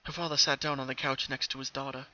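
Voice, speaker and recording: A man's voice: mid-range voice, flat delivery, quick pace, pushed and bright, dull audio. A man reading a scripted story aloud as its narrator, in a dramatic storytelling style.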